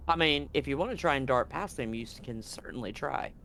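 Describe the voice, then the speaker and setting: A man's voice: mid-range voice, brisk, vocal fry, a bit bright, slight background noise. A man speaking casually and with some swearing as game master of a tabletop role-playing game. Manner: casual, profane.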